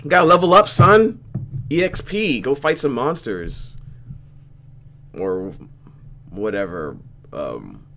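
A man's voice: medium pitch, lively intonation, takes it slowly, long pauses, a bit bright, a little hiss or hum. A young man doing a let's play, reading a visual novel aloud with playful, reactive commentary.

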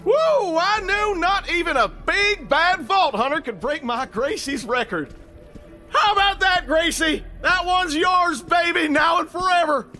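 A man's voice: very high voice, animated, unhurried, sharp tone, soft background music, exaggerated voice. A man playing a folksy, exuberant bereaved father, hosting a party in a video game. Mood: triumphant. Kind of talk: video game character acting.

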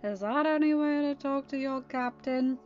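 A woman's voice: high voice, normal speed, darkish tone, pitched above the performer's own voice, lightly acted. A woman reading a story, voicing a cheeky, unrepentant teenage girl in a flippant, teasing manner. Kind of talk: amateur story reading with dialogue. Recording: dull lo-fi audio, music behind.

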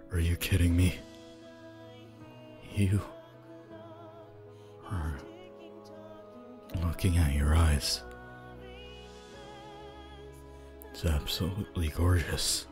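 Man voicing a deep, slow, awkward young wolf. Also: fairly even intonation, over music. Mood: tender, admiring. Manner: hesitant, stammering, soft-spoken. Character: shy, awkward young male anthropomorphic wolf student, gentle and apologetic. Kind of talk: ASMR audio roleplay.

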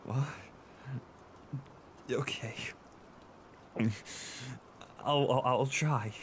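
A man playing an insecure, tearful boyfriend who seeks comfort in an intimate audio roleplay, speaking in a halting, stammering way. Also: mid-range voice, steady tone, a little hiss or hum. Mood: calming, apologetic. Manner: halting, stammering, with nervous soft laughs. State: emotional, tearful.

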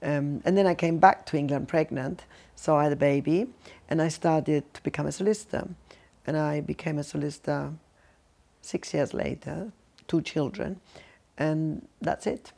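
An older woman from Sicily with an Italian accent, telling her life story in a measured, reflective way for a documentary interview in a living room. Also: low-pitched, creaky, warm tone. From Sicily, Italy. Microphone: lapel mic.

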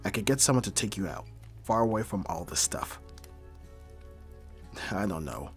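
A man acting a brooding mafia boss, hard but secretly caring, in a dramatic audio roleplay with terse, measured delivery. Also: fairly low pitch, expressive, soft background music. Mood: low, gentle. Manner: terse, profane, sighing, measured.

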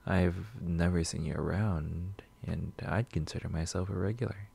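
A man playing a shy, sweet, nervous coffee shop regular in a soft-spoken ASMR roleplay, gentle, hesitant and rambling. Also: low voice, fairly even intonation, moderate pace, gravelly, husky, exaggerated voice. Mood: friendly, tentative. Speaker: roleplay audio performer.

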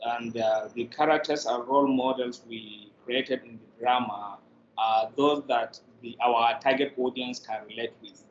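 A man's voice: medium pitch, steady tone, unhurried. A middle-aged man with a Nigerian accent explaining his work as an interview guest on a video call. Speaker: general manager, interview guest. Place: indoors in an office room on a video call. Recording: dull, echoey, faintly noisy video call.

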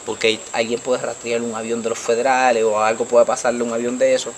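Animated Dominican man, Puerto Rican accent. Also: medium pitch, fast talker, slight background noise. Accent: Puerto Rican Spanish. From Dominican Republic. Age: young adult. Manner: animated, gesturing, repeats "tú me entiendes". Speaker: interviewee. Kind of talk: street interview storytelling. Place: outdoors at night by a wall. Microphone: handheld mic held by interviewer.

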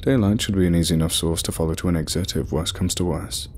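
Deep-voiced man, tense hushed log. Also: fast talker, a little hiss or hum. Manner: low hushed whispering, tense. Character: shaken male scientist in a cave. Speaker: expedition scientist recording a log. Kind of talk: horror audio drama narration.

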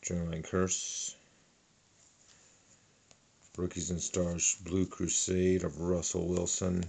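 Middle-aged guy going through his trading cards at the table, super low voice, talks slow. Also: monotone, gravelly, darkish tone, husky. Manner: unhurried, listing cards one by one with fillers. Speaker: card collector. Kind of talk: casual card unboxing narration. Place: indoors at a table.

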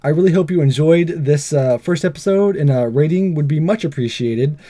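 A man's voice: on the high side, moderate pace, warm tone. A man hosting a gaming video, reading a visual novel aloud and chatting casually to viewers. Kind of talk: narrated let's play, scripted reading and casual sign-off.

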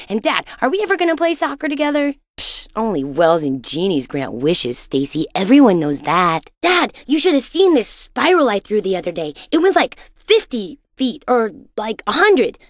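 A woman voicing a boisterous, imaginative and boastful young boy in a cartoon-style video game, with an excitable, dramatic, childlike delivery. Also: fairly high pitch, no room sound, studio quality. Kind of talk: animated video game voice acting.

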